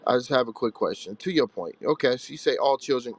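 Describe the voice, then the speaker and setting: A man's voice: medium pitch, animated, fast talker, rough recording. A man hosting a live religious call-in show, answering callers in a blunt, rhetorical, streetwise way.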